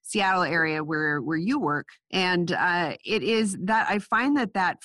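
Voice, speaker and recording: A woman's voice: lowish voice, measured pace, fairly bright tone, no room sound, studio quality. A woman hosting a podcast interview, a little nasal from a cold, chatty and restarting her sentences. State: has a cold, a bit nasal. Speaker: podcast host. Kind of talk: casual interview.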